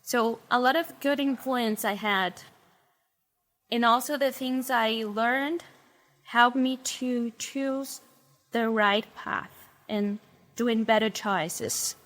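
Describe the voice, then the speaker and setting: A young Brazilian woman tells her faith story in unhurried, carefully chosen English, with her Portuguese accent clear throughout. Her voice sits somewhat high, and she often pauses to find the right word. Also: fairly bright tone. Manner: slow, careful, searching for English words. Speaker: interview guest. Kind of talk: personal faith testimony.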